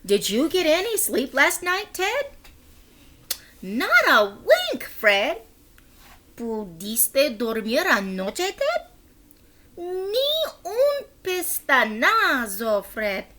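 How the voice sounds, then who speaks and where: A woman voicing excitable dogs from a children's storybook in an exclamatory, exaggerated way. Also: high-pitched, extremely slow, pitched above the performer's own voice, heavily acted. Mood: weary, questioning. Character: excitable storybook dogs, one big and one little.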